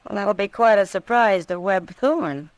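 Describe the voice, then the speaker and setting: An American actress playing a frank, worldly saloon woman of the Old West in a radio drama, familiar and expressive. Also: medium pitch, moderate pace, no room sound, lightly acted, rough recording. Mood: surprised, frank. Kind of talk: 1950s radio drama acting.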